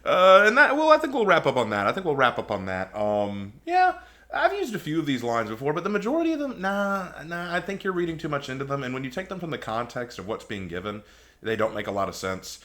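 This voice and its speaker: A man's voice: on the high side, huge pitch swings, fairly quick, pushed and bright. A man hosting a reaction video with casual, rambling and opinionated commentary.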